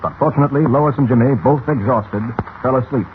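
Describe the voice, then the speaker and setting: A man's voice: medium pitch, a little fast. A man narrating a 1940s radio serial in a dramatic, grandiose, suspenseful scripted style. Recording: muffled, faintly hissy old radio recording.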